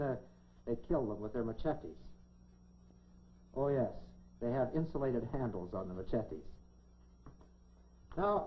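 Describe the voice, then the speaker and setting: A middle-aged American man presenting a scripted 1950s educational science film in a folksy, dryly humorous manner. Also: on the high side. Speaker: science presenter in a lab coat. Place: laboratory set, indoors. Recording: dull 1950s film soundtrack, clearly noisy.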